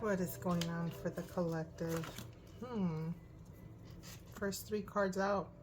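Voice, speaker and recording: A hoarse woman reading tarot cards aloud, thinking out loud and often asking "right?". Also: fairly low pitch, steady tone, slow, background noise. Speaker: tarot reader. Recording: music in the background.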